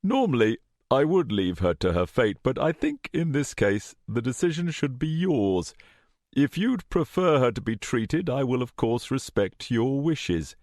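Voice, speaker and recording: A man's voice: mid-range voice, sing-song, unhurried, a little mellow, no echo, studio quality, pitched above the performer's own voice. A man voicing a coolly calculating male villain in an audiobook, with formal, unruffled, conversational phrasing. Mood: smooth formality.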